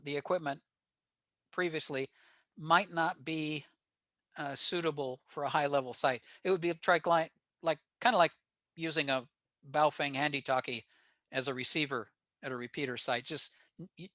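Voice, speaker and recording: A man's voice: a little high, bright, forceful tone, no echo. An American man giving an informal technical slide presentation to a club. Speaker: presenter at a ham radio club meeting.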